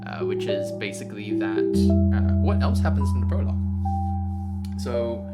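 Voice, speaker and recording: A man's voice: lowish voice, expressive, moderate pace, over loud music. A man chatting casually on a solo devlog livestream as a game designer, rambling and self-deprecating with muttered asides. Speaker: game writer and designer, streamer.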